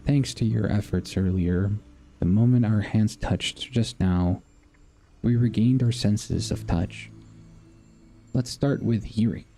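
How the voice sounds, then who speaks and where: A male gaming streamer with a mellow, fairly low voice reads story dialogue out loud. He moves haltingly between the text and casual asides, with faint game music behind him. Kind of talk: reading game dialogue aloud with casual reactions.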